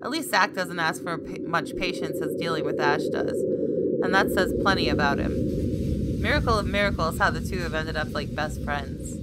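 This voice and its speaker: A woman's voice: on the low side, normal speed, heavy background noise. A woman reading first-person story narration expressively, as a gamer playing through a visual novel. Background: loud game music.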